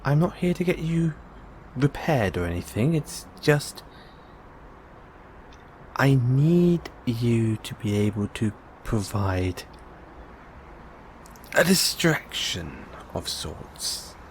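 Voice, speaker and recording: A man's voice: medium pitch, big pitch swings, takes it slowly, long pauses, slight background noise. A man playing a curious, reassuring investigative journalist in an intimate audio roleplay, musing and coaxing with hesitant phrasing. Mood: reassuring, persuasive. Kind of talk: intimate one-sided audio roleplay acting.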